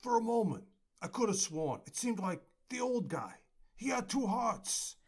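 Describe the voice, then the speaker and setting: A woman's voice: fairly high pitch, sing-song, a little slow, creaky, darkish tone, slightly rough, heavily acted. A woman playing an alert, puzzled gang hunter in a fan audio drama. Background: music.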